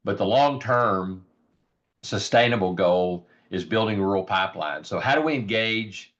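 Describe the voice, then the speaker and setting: A man with a raspy, hoarse voice giving an earnest informal presentation on a rural health program, with many ums and repeated words. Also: on the low side, lively intonation, unhurried, fairly bright tone. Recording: dull lo-fi audio.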